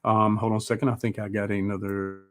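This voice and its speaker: A man's voice: on the low side, flat delivery, quick pace, fairly bright tone. A middle-aged man hosting a live music show in casual, rambling, mildly profane interview chat.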